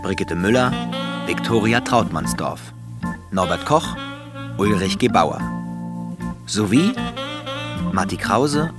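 A man's voice: lowish voice, animated, takes it slowly, pauses often. A man reading scripted credits as a radio announcer. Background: saxophone music.

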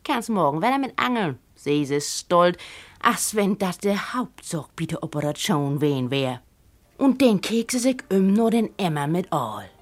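A woman with a Northern German Low German accent telling a first-person literary story expressively. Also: expressive, a little slow.

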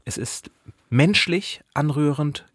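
A man co-hosting a book podcast, giving conversational reviews in an articulate, expressive manner. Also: a little high, slow pace, breathy edge, no echo, clean studio sound. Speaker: podcast co-host discussing books.

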